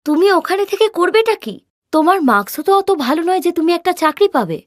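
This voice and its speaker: An earnest young village girl in a cartoon, voiced by a woman. She speaks high and expressively, pleading politely and with reproach, recorded very dry. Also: sing-song, quick pace, no echo. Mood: reproachful. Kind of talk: cartoon character dialogue.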